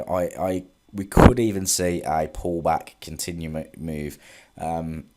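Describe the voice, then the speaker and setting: Man with a stuffy head cold, low voice, loose chart recap. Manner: relaxed, hesitant, many ums and restarts. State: congested from a cold. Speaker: forex trading analyst. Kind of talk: casual market recap with charts.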